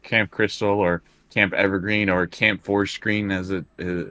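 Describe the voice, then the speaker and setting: A man's voice: low voice, level tone, moderate pace, sharp tone, dry recording. A man chatting casually as a player at a tabletop role-playing game.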